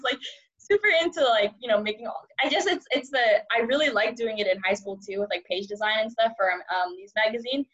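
A woman's voice: a little high, fairly bright tone, lo-fi sound. A young woman in her twenties whose first language is Cantonese, chatting in an interview with a rambling, upbeat manner, laughing often. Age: 20s. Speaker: journalism student guest. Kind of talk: casual interview chat.

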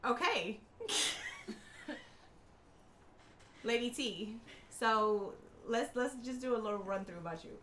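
A woman hosting a casual podcast chat, blunt and quick to swear and laugh. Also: a little high, wildly swooping pitch, a bit bright, slight background noise. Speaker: podcast host.

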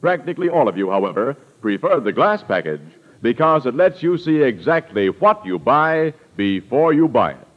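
A man's voice: mid-range voice, sing-song, normal speed, few pauses. An American man announcing on 1940s radio, reading scripted sponsor copy in a polished, declamatory way. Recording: dull old radio recording, music behind.

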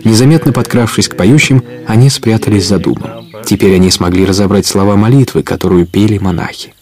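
Низкий мужской голос рассказчика. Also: male voice, on the low side, brisk, darkish tone, faint music behind. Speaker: narrator. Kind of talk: scripted storytelling narration for an audio play.

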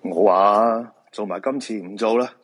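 A man's voice: lowish voice, measured pace. An actor playing a middle-aged feng shui master and proud father in a live radio drama, with a Hong Kong Cantonese accent. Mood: grumbling.

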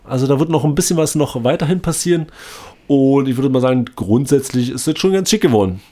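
A man's voice: mid-range voice, lively intonation, fast talker, mellow. A man co-hosting a casual podcast chat about his gym, talking loosely with many filler sounds and slightly under the weather. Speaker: podcast co-host, gym trainer.

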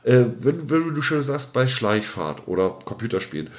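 A man's voice: medium pitch, very animated, normal speed. A middle-aged man co-hosting a podcast in loose, casual conversation, repeating words as he thinks aloud. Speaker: podcast co-host. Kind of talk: casual unscripted chat.

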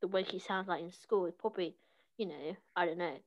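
A young woman with a British accent whose first language is Cantonese, talking softly and hesitantly in a casual podcast chat. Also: on the low side, brisk. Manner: soft-spoken, hesitant, many fillers. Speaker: podcast co-host. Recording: slightly muffled lo-fi recording.

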